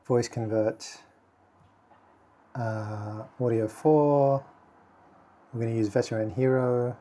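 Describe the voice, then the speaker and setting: Quiet, mellow-voiced man thinking aloud. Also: mid-range voice, fairly even intonation, slow pace. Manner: muttering while working, thinking aloud with many fillers; speaking quite quietly. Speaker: video tutorial host. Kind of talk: casual screen-recording tutorial.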